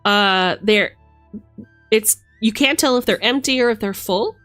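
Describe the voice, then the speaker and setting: A woman's voice: mid-range voice, a little slow, fairly bright tone. Playful woman chatting over background music. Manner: playful, affectionate, thinking aloud. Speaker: livestreamer. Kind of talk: casual livestream chat while reading tarot cards.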